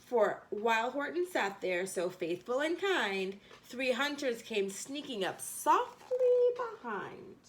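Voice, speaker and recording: Female children's storyteller, fairly high-pitched, big melodic range, expressive and theatrical with rhyming text. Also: slight background noise. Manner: expressive, theatrical emphasis with drawn-out phrases. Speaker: storyteller reading a children's book. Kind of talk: animated rhyming storybook read-aloud.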